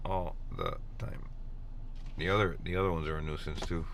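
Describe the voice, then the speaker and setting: A man's voice: steady tone, normal speed, a bit bright. An American man from Miami hosting a livestream, chatting casually from inside a moving car. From Miami, USA. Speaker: livestream host driving to police scenes. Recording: clear car and road noise. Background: car noise, vehicle rumble.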